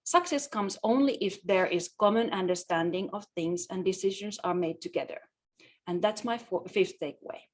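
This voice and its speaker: Female presenter, mid-range voice, prepared talk delivered with some halting restarts. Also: medium pitch. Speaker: conference presenter, media executive.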